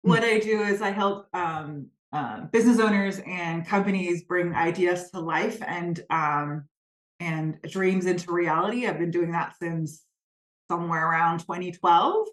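Guest coach, low-pitched, thoughtful delivery, recorded in an echoey room. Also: female voice, measured pace. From Denmark. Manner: earnest, reflective, searching for words. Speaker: guest, spiritual business coach. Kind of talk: conversational interview.

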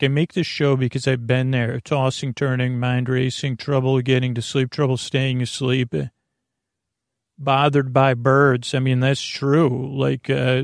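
A man hosting a sleep podcast in a meandering, halting, self-deprecating monologue, often repeating himself and trailing off, with a gravelly voice. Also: mid-range voice, dry recording, studio quality. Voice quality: creaky, gravelly. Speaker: podcast host.

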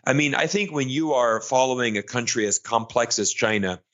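A man's voice: mid-range voice, a little fast, a bit bright. An American man being interviewed, talking enthusiastically with frequent restarts and fillers. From United States. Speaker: guest analyst. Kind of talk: conversational interview. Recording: muffled lo-fi audio.

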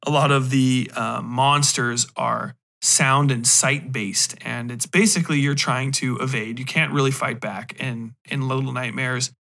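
A man's voice: medium pitch, fairly even intonation, unhurried, vocal fry, hardly any pauses, bright, forceful tone. A man hosting a podcast, giving a casual, unscripted review in a rambling, emphatic way. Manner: rambling, emphatic, profane slang. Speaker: podcast host.

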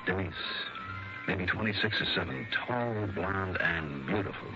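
A man's voice: low-pitched, expressive, a little slow, creaky, exaggerated voice. An actor playing a wry, worldly male insurance investigator in 1960s radio drama, with clipped hard-boiled storytelling. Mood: smitten, musing. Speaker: narrator and lead actor of a detective radio series. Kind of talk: 1960s radio drama acting, first-person narration. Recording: dull vintage radio recording with music.

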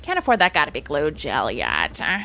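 A woman's voice: sits low, huge pitch swings, fairly quick, bright, forceful tone, exaggerated voice. A woman voicing a dramatic emo youth who rambles, then drops to whispers or flares into outbursts. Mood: earnest rambling.

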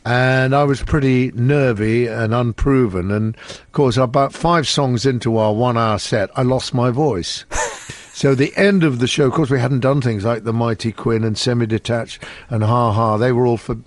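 A man's voice: medium pitch, steady tone, moderate pace, no room sound. An older man, a musician guest, telling wry anecdotes in easygoing conversation. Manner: chatty, wry storyteller.

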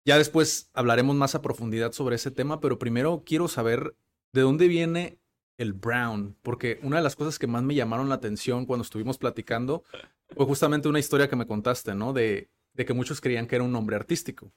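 A man hosts a casual interview. He rambles in halting phrases and leans on fillers and tag questions. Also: medium pitch. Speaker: podcast host.

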